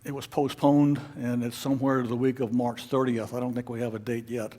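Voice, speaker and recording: A man leading a club meeting, giving informal announcements in a halting, unscripted way. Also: medium pitch, flat delivery, warm tone. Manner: halting, hesitant, unscripted delivery. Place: club meeting room with an audience.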